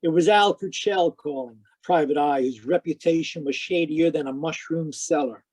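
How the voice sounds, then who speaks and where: A man playing a wisecracking private detective who narrates deadpan in 1940s radio drama style. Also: fairly high pitch, normal speed, breathy edge, dry recording. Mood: wry narrating. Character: wry wisecracking male private detective narrating his case. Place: live video-call performance, voice close and dry.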